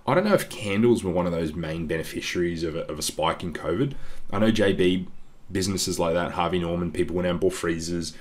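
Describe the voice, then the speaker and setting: A man hosting an investing channel, talking casually through slides with a congested voice, often calling his viewers "guys" and filling pauses with ums. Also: low-pitched. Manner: chatty, keeps saying "guys", frequent ums. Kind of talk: casual stock analysis over slides. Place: voice-over on screen-share slides.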